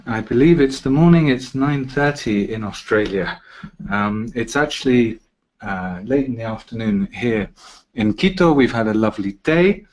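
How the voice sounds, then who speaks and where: A man's voice: mid-range voice, animated, moderate pace. Chatty British man hosting a webinar. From Britain. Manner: friendly, chatty, with frequent "uh" fillers. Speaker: webinar host. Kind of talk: live travel webinar presentation. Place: office in Quito.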